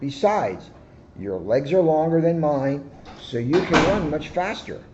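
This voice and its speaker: A man voicing a kind, patient female tortoise who speaks gently and reasonably. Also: on the high side, lively intonation, a little slow, dull audio, background noise, voice pushed up. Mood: reasonable.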